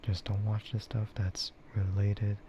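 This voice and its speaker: A man speaking softly in a breathy half-whisper, rambling casually and hesitantly like an ASMR streamer close to the mic. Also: fairly low pitch, little pitch movement, unhurried. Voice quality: soft, breathy, often whispered. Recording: muffled lo-fi stream audio, clear background noise.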